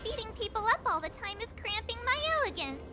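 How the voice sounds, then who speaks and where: A tiny-sounding, cocky young girl from an animated video game. The voice is extremely high, playful and grumbling, with flippant mock refinement, over clear background music. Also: fast. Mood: cheeky grumbling.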